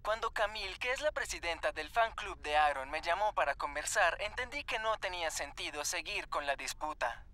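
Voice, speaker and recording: An actor voicing a friendly, laid-back young celebrity heartthrob in a cartoon dub, polite. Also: male voice, on the high side, lively intonation, a little fast, few pauses. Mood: sincere. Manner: easygoing, polite. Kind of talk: animated cartoon dub acting. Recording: loud guitar music behind.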